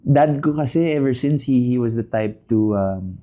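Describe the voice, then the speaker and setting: A man, a podcast guest who talks through his answers with many pauses and self-deprecating asides. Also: mid-range voice, warm tone. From Philippines. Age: 30s. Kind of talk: casual interview chat.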